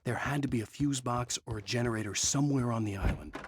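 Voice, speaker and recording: A man's voice: medium pitch, quick pace, a little hiss or hum, close to an everyday voice. An actor playing a troubled, brooding male writer and husband with a volatile temper in a video game. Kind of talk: brooding first-person narration and acted dialogue. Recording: game soundtrack with loud music behind.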